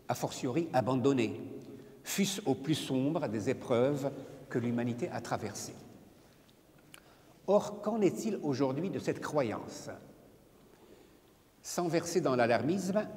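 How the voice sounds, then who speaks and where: Slow, solemn older man in a hall. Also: mid-range voice, a little mellow. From Morocco. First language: not French. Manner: measured, solemn, deliberate phrasing. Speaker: poet giving a talk. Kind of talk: reading a prepared lecture. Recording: reverberant hall, from a distance.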